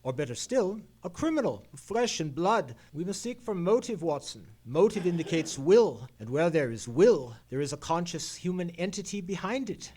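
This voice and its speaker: A Victorian gentleman sleuth in a radio play. His voice sits fairly high, and he speaks in a formal, precise, musing way with theatrical confidence. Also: very animated, normal speed, few pauses. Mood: keen, wry. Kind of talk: old-time radio mystery play, performed live.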